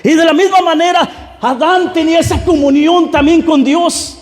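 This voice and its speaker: A man's voice: extremely high pitch, fairly even intonation, moderate pace. A man preaching a fervent sermon, exhorting the congregation directly and circling back over his phrases. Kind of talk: Pentecostal-style sermon. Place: large reverberant room, church service. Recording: echoey hall with long reverb.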